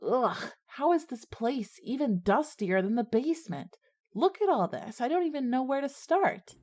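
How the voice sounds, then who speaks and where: A woman's voice: high-pitched, sing-song, normal speed, darkish tone. A woman playing a resourceful, take-charge schoolgirl who both narrates and speaks in an audio drama. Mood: urgent. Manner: decisive, organizing. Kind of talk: audio drama dialogue and first-person narration.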